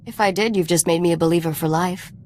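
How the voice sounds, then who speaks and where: A woman's voice: fairly low pitch, fast, darkish tone, a little hiss or hum, close to an everyday voice. A woman playing a sly, cool, worldly treasure hunter in a video game, wry and knowing.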